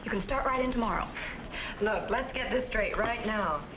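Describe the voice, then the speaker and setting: A woman's voice: on the high side, normal speed, natural voice. An actress playing a level-headed, wry young college woman in 1950s educational film dialogue. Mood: wry, firm. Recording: muffled, noisy 1950s film soundtrack.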